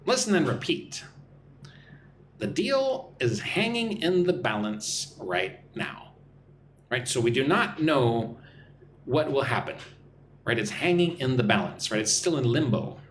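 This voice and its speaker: A young man from Texas runs a live-stream English class in a chatty, joking tone. His pitch moves around a lot as he reads viewers' comments and answers them. A faint hum of room ambience sits under his voice. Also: very animated, measured pace. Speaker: English teacher and livestream host. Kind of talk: live-stream English lesson, reading chat. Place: home room in Vietnam.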